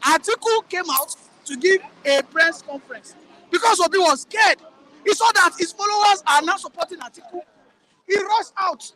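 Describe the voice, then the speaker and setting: A man's voice: extremely high pitch, moderate pace, pushed and bright, a faint crowd, slight background noise, rough recording. A man with a Nigerian accent, arguing politics in a heated, mocking way and repeating his points. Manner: combative, mocking, repeats himself.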